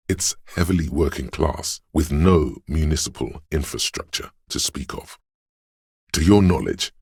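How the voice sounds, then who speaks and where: A man voicing an erudite, composed know-it-all inner voice in a video game, delivering measured, precise, matter-of-fact exposition. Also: no echo, studio quality, heavily acted. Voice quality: very deep, gravelly, husky. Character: encyclopedic inner voice, a learned, composed male know-it-all narrator. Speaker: game voice actor. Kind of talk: scripted game narration, expository.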